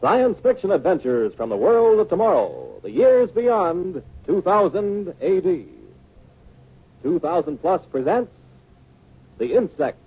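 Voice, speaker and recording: An actor playing a theatrical science-fiction series announcer on 1950s radio, with drawn-out delivery. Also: male voice, high voice, big pitch swings, husky, heavily acted. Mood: dramatic, grand. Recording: tinny, lo-fi 1950 radio recording.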